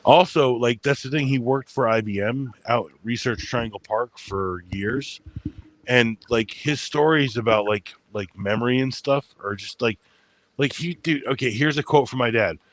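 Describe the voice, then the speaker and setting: A man's voice: mid-range voice, normal speed. A man hosting a casual chat with friends, rambling through stories with lots of fillers and swearing, in a soothing voice. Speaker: channel host.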